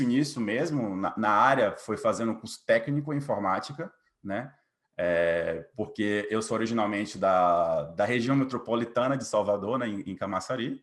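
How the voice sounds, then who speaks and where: A man in his late twenties with a Bahian Brazilian accent, telling his story informally as an interview guest, often adding little tag questions. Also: lowish voice. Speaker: interview guest, software developer. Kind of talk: casual interview, personal story.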